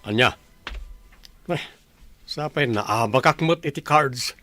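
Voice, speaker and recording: A man's voice: mid-range voice, slow pace, pushed and bright, no echo, soft background music. A Filipino man playing an irritable young gambling husband in a village radio drama. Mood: irritated. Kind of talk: Ilocano radio drama acting.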